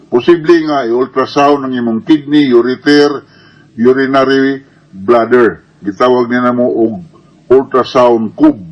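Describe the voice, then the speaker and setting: A man's voice: medium pitch, fairly even intonation, measured pace, lo-fi sound. A Filipino man with a Cebuano Bisaya accent answers listeners' questions as a medical advice host, explaining casually and didactically with frequent check-in tags and English medical terms.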